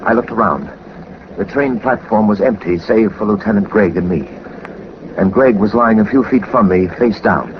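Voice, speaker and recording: A muffled, noisy old radio recording carries a man playing a composed gentleman sleuth. His voice is low, with formal, measured phrasing and courteous manners in the style of 1940s radio drama. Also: natural voice. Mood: grave narration. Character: composed, methodical gentleman sleuth, a former captain. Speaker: lead actor of a detective radio serial.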